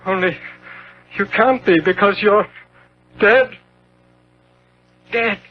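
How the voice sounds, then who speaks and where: An actor playing a tormented, guilt-ridden gentleman in a 1970s radio drama, speaking in halting, theatrical phrases with repeated words. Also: sits high. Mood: dazed agitation. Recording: muffled, noisy 1970s radio recording.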